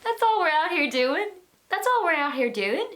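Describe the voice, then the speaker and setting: A young Irish woman in her twenties, hosting a vlog and answering viewer questions in a frank, animated, chatty way. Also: very high voice, moderate pace. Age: 20s. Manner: animated, acts out little voices, frank and chatty. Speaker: vlogger, host of an advice series.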